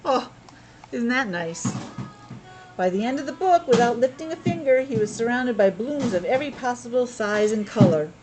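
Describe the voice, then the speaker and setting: A woman reads a children's story aloud with very animated pitch, swinging up and down as she goes. She often drops into casual, chatty asides to explain things or ask the young listeners questions. Music plays along with her voice. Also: moderate pace, poor audio. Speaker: teacher reading a picture book aloud to children.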